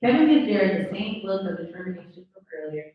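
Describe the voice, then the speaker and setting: A woman's voice: mid-range voice, sing-song, fast. Echoey woman reading stage directions. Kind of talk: scripted narration at a live table read. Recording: very echoey room, distant dull mic.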